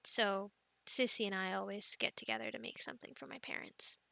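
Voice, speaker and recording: A woman playing a devout, affectionate, playful young girlfriend in a casual roleplay, chatting softly and hesitantly with giggly fillers and pauses. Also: medium pitch, sing-song, vocal fry, dry recording, full character voice. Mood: fond, reflective. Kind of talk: soft girlfriend roleplay, casual improvised-sounding chat.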